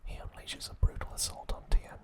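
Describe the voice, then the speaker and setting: ASMR host, sex indistinct: breath-only whisper for scripted trivia narration, soft throughout. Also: a little fast.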